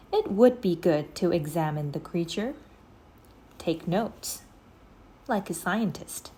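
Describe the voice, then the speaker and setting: A woman reading a children's book aloud as a teacher would, with deliberate, dramatic phrasing. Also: lowish voice, dark tone, a little hiss or hum. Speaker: storybook reader, English teacher. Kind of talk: expressive scripted narration of a children's book.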